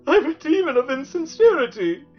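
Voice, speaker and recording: Man putting on a high-pitched, overwrought little demon. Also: big pitch swings, takes it slowly, muffled recording, faint music behind, heavily acted. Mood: sobbing, hysterical. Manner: theatrical, exclamatory, overwrought. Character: small furry demon of insincerity, boastful fake monster, whiny crybaby.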